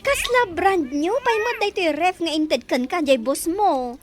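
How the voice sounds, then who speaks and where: An actress playing a cheerful, generous, chatty village wife in an Ilocano radio drama. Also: female voice, very high voice, expressive, unhurried, over music. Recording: heavy noise behind the voice.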